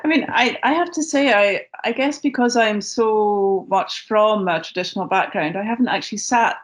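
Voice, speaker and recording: A Scottish woman musician answering interview questions, thoughtful and measured, pausing often with ums and restarting her sentences. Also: mid-range voice. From Scotland. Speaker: guest musician. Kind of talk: interview conversation.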